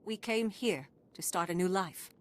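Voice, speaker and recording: A woman's voice: on the low side, measured pace, lightly acted. An actress playing a proud, wronged female alien refugee leader in a video game, speaking formally and solemnly. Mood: pleading, solemn. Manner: formal, solemn, impassioned pleading. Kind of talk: scripted video game dialogue.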